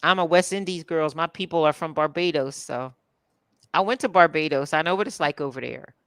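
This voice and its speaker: A woman from Philadelphia chatting casually as a co-host, relaxed and chatty. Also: deep voice, smooth, no room sound, studio quality. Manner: relaxed, chatty, drawn-out fillers. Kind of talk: casual chat.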